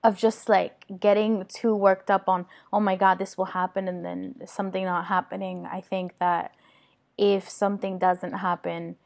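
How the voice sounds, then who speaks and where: A woman's voice: medium pitch, level tone, normal speed, vocal fry, a little mellow. Earnest woman interviewer. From Venezuela. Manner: earnest, emotional, long winding questions. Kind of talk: conversational interview.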